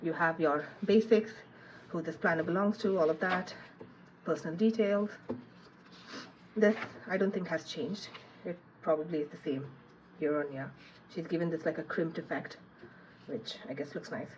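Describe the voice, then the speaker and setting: A low-voiced woman, a little stuffy from a cold, chatting her way through an unboxing review. She thinks aloud, trails off and fills gaps with ums. The recording is muffled and lo-fi, with a faint hiss behind her. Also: steady tone, normal speed, pauses often. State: has a cold, sniffling. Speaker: planner reviewer.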